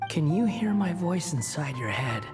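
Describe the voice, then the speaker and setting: A woman voicing a proud, brooding, sardonic young male wanderer in a video game, speaking in a cool, deliberate way. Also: low voice, sing-song, music in the background. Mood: resigned, resolute. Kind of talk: dramatic video game voice acting.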